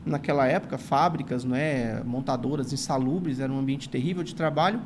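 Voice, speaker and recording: A man's voice: medium pitch, fairly quick, slight background noise. A man in his forties from São Paulo with a São Paulo accent, a guest telling his life story in an earnest, grateful way, often adding "não é" to his sentences. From São Paulo, Brazil. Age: 40s. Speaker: guest, retired teacher and writer. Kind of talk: reflective storytelling in an interview.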